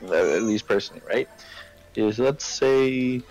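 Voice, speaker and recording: A man in a casual voice chat, explaining things in a rambling, halting way. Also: medium pitch, a little mellow, low-quality recording. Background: faint music.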